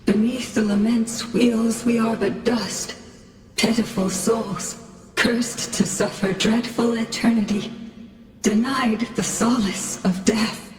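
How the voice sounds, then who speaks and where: Cursed female spirit for a game: weary, mournful, slow, swimming in long reverb. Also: on the high side, steady tone. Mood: mournful, grave. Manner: solemn, slow, poetic lamenting. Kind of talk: video game character acting. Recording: distant, long echoing hall, faint music.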